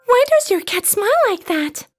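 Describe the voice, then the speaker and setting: A woman's voice: extremely high pitch, very animated, moderate pace, faint music behind, voice pushed up. A woman voicing an anxious, curious, polite young girl in a children's storybook, with a pleading, questioning delivery.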